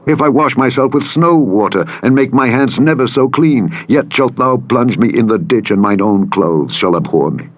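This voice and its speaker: A man narrating scripture from a script in a solemn, measured, formal delivery. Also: medium pitch, lively intonation, muffled recording. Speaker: audiobook Bible narrator. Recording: engine and vehicle noise over playback. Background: truck simulator engine noise.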